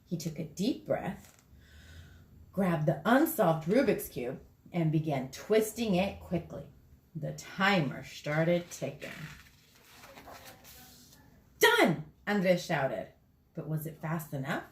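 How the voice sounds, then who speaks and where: A woman reading a children's picture book aloud in a lively, expressive way for school kids. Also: sits low, slow pace. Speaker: school story reader. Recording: echoey room.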